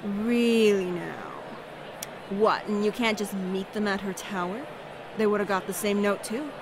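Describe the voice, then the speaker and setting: A woman's voice: mid-range voice, steady tone, a little slow, natural voice. A woman voicing a pushy, sassy young female food vendor in a visual novel, with emphatic, theatrical delivery. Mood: sour, skeptical. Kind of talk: acted visual novel dialogue. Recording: clearly noisy background ambience.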